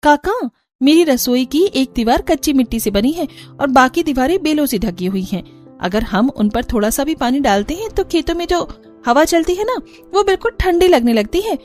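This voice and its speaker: Woman voicing a high-pitched cheerful young village daughter-in-law. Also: expressive, moderate pace, darkish tone, faint music behind. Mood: cheerful, proud. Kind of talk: Hindi cartoon character dialogue.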